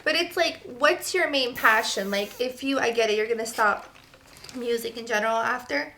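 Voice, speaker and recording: A woman's voice: on the high side, moderate pace, sharp tone, slight background noise. A young woman from Serbia with no accent, a guest chatting casually and filling her talk with "like". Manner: chatty, filler-heavy, lots of "like". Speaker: guest, model. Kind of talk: casual interview chat.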